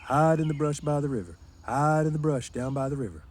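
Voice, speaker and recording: A man doing a gruff, bossy ranch hand. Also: on the high side, fairly quick, darkish tone, slight background noise, pitched above the performer's own voice, close to an everyday voice. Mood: insistent. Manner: brusque, scolding, slangy rural speech.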